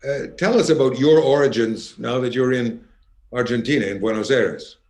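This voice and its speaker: The man who steps up between numbers at a cultural evening to introduce the next performer, courteous and measured, in a medium-pitched voice. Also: a little mellow. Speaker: concert host. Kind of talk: hosted concert introduction and interview.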